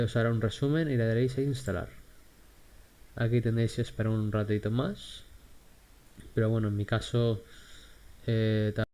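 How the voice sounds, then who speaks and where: Casting: man, Spain accent, low and very soft-edged voice, halting amateur tutorial host. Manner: hesitant, halting, unscripted. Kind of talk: casual screen-recorded software tutorial.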